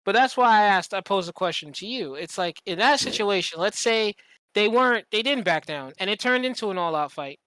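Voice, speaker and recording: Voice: mid-range voice, a little fast, clean tone, no echo, poor audio. A podcast host telling stories casually and animatedly, with plenty of swearing and slang, often acting out what people said. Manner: animated, profane, slangy, acts out quoted dialogue. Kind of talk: casual storytelling in conversation.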